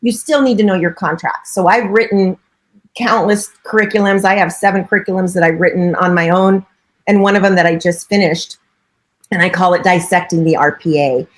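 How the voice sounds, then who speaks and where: A woman's voice: lowish voice, fairly even intonation, fairly quick. A middle-aged woman, a real estate broker and coach, giving chatty, earnest interview answers with frequent filler phrases.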